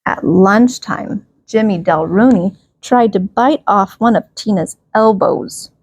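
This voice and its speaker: A woman's voice: mid-range voice, slow pace, a little mellow, slightly rough, no echo, muffled recording. Woman reading a children's story, dry sound. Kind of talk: expressive read-aloud bedtime story narration.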